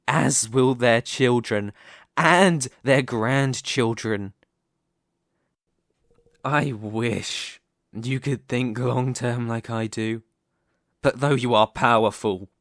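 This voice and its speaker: A man performing an arrogant, domineering immortal demon king in an ASMR roleplay, speaking deliberately with condescending menace. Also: mid-range voice, fairly bright tone, dry recording, studio quality. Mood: cold, calculating.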